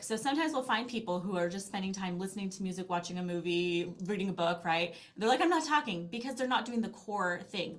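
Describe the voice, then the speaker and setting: A middle-aged woman speaking as a podcast interview guest, explaining in an earnest, conversational way and often restarting her phrases. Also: medium pitch. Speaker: interview guest, language learning company founder.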